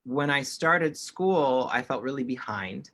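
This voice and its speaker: A man's voice: medium pitch, expressive, unhurried, a bit bright. A man working as a sign language interpreter, voicing panelists' signed remarks in the first person over an online call, with frequent restarts.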